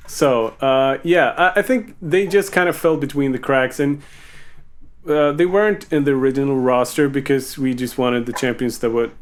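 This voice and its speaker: Casual, mid-pitched man hosting a chat. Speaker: panel host and musician reading out fan questions. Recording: compressed group call audio.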